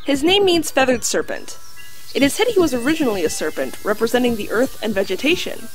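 A sensible female dog who talks played by a woman in an audio drama. She speaks formally and precisely in a highish, lively voice that swings in pitch. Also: soft background music. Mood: instructive. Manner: formal, precise, measured wording.

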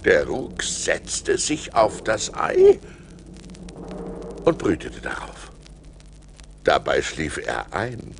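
A man's voice: deep voice, very slow, creaky, long pauses, slight background noise, exaggerated voice. An actor playing a fearful yet resourceful seafaring adventurer, telling his perils in a theatrical, suspenseful way for an audio play. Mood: hushed, thoughtful. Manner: theatrical, suspenseful, expressive delivery. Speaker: narrator and lead actor. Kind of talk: dramatic storytelling in a German audio play. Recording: vinyl record transfer.

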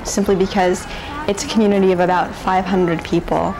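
A woman's voice: fairly low pitch, little pitch movement, a little fast. A young woman giving a student interview testimonial. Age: young adult. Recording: clear outdoor ambient noise.

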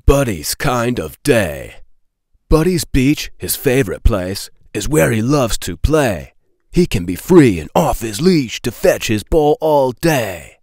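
A man in his thirties reading a children's storybook aloud with playful, expressive emphasis. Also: mid-range voice, takes it slowly, no echo, studio quality. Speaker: read-aloud host.

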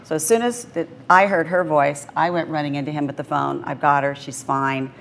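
Low-pitched middle-aged woman. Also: steady tone, a little fast, gravelly, a little hiss or hum. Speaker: talk show guest. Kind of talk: recounting a personal experience. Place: TV studio.

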